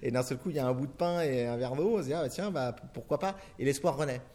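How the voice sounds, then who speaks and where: A man's voice: mid-range voice, fast talker, mellow. A middle-aged man from France, a film director answering interview questions in long, rambling turns full of restarts and fillers.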